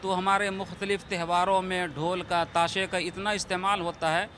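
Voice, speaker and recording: A man with a notably high voice answers an interviewer as an imam. His wording is formal, balanced and diplomatic. Faint outdoor city and vehicle noise runs underneath. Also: level tone, normal speed, a bit bright.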